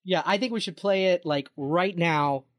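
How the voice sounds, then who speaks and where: A forty-year-old man chatting casually as a podcast co-host, often pausing and repeating words as he goes. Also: high voice, no room sound, studio quality. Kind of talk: casual chat.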